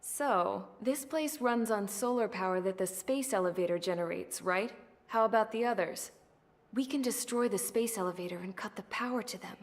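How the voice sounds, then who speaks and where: A woman's voice: medium pitch, moderate pace, natural voice. A woman as a blunt, no-nonsense military mechanic. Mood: resolute. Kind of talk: scripted game cutscene acting.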